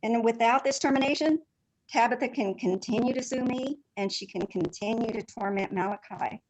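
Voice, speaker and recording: A woman testifies over a video call as a grandmother seeking custody. She speaks earnestly with emotion, in a slightly raised pitch. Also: normal speed, poor audio. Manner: earnest and emotional, with short affirmatives.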